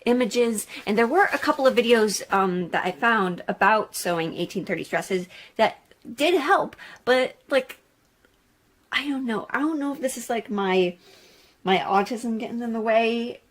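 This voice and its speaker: A woman's voice: on the high side, expressive, measured pace. A woman chatting casually in a sewing vlog, thinking aloud in a candid, rambling way. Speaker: sewing vlogger.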